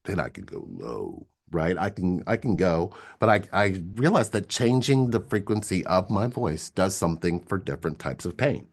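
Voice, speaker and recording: An older man co-hosting a casual spiritual livestream, soft-spoken and rambling, repeating words as he thinks aloud. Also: deep voice, sing-song, normal speed, gravelly, hardly any pauses, breathy edge. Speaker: co-host of a relationship coaching livestream.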